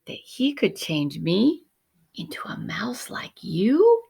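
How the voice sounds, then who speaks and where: A woman voicing a small, earnest male mouse who is yearning and excitable, in a storybook reading. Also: mid-range voice, slow pace, creaky, slightly rough, exaggerated voice. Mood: amazed hope.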